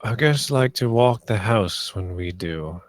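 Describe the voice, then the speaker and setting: A man's voice: lowish voice, expressive, measured pace, dry recording. A man playing a composed, protective lord of the house in a dramatic script read, with understated authority. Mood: measured.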